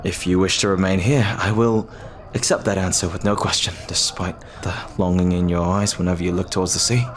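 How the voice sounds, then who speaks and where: A lovestruck pirate captain, played by a man in an audio roleplay. His voice is low and deep. He speaks earnestly and hesitantly, with tender, formal, old-fashioned phrasing. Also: level tone, a little fast, natural voice. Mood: restrained, wistful. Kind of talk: ASMR roleplay acting.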